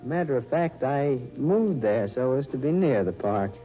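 An actor playing a polite, reserved gentleman in a 1940s radio drama, hesitant and wistful. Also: medium pitch, expressive, normal speed, lightly acted. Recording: dull old radio recording with music.

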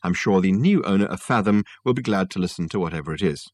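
A man voicing a brisk upper-class mother in an audiobook, sweetly condescending and ruthless. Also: low voice, animated, slightly rough. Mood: sweet, dismissive.

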